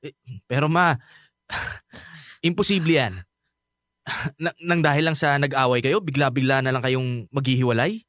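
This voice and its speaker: A man's voice: medium pitch, moderate pace, long pauses, a bit bright, dry recording, studio quality, lightly acted. An actor with a Filipino accent playing an emotional, pleading teenage son in a radio drama, stammering through his lines. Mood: pleading disbelief. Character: distraught teenage son refusing to believe his father left.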